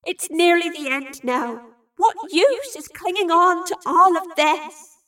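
A woman's voice: very high-pitched, a bit bright, recorded from a distance, voice pushed up, exaggerated voice. A woman playing a desperate, grieving mother in an audio drama, protective and anguished, drawing out her words. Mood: desperate pleading. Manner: pleading, anguished, drawn-out.

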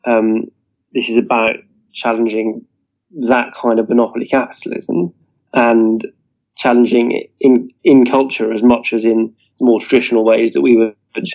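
Mellow-voiced man arguing his case. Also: medium pitch, level tone, normal speed. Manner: earnest, argumentative, leans on "you know". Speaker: interview guest. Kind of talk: political discussion. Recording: dull lo-fi audio.

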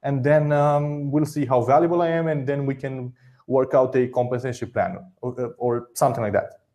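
A man hosting an interview, giving direct, encouraging advice in quick bursts, often repeating words as he talks. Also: medium pitch, steady tone, mellow. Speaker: podcast host.

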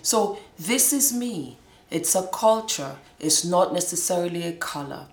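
Lively woman host in an echoey room. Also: on the low side, a little slow. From Scotland. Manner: animated, laughs often. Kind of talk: casual personal monologue.

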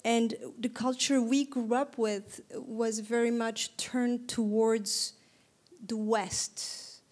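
A woman from Turkey in her thirties, speaking to an audience in a reflective way, with frequent pauses and restarts. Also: a little high, little pitch movement, a little mellow. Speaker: panel speaker. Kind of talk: conversational talk to an audience.

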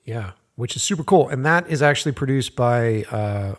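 A man with a raspy, hoarse voice hosting a podcast, rambling casually with lots of ums and uhs. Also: medium pitch, expressive, normal speed. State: hoarse from a busy week. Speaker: podcast host.

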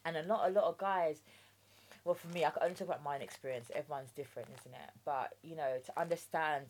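A woman's voice: deep voice, fast talker, darkish tone, a little hiss or hum. A woman in her thirties with a London accent, born in Jamaica, chatting candidly as a guest on an interview podcast. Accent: London English. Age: 30s. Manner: chatty and candid, with lots of "do you know what I mean". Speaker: guest entrepreneur.

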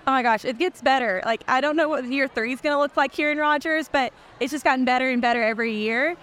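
A woman's voice: high-pitched, fast, fairly bright tone. A woman teacher, a guest at a live event, talking with gushing enthusiasm. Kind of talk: casual interview. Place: outdoor event fair.

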